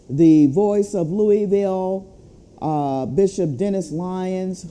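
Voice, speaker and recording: A woman speaking as an advocacy leader, giving a formal update and reading a letter aloud in a deliberate manner. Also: fairly low pitch, warm tone. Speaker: civil rights chapter president.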